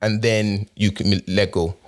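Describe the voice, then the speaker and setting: A man's voice: lowish voice, little pitch movement, unhurried. A young man from Nigeria with an accent shaped by both Nigeria and North America, talking casually with fillers and restarts. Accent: Nigerian with North American influence. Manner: conversational, with frequent fillers and repeated restarts. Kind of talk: casual monologue.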